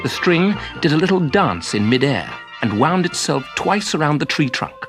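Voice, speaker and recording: A man narrating a children's story in an expressive storybook style. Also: on the high side, moderate pace, over loud music.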